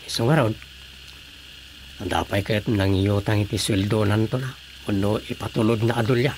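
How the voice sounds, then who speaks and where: A man's voice: fairly low pitch, fairly even intonation, moderate pace, a little mellow, a little hiss or hum, lightly acted, low-quality recording. A man playing a firm, skeptical village man in a radio drama comedy.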